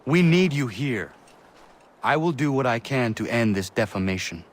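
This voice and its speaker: A man playing a solemn, earnest young leader in a video game, speaking in a stiff, formal, measured way. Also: medium pitch, lively intonation, close to an everyday voice. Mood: grave, resolute. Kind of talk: video game dramatic acting.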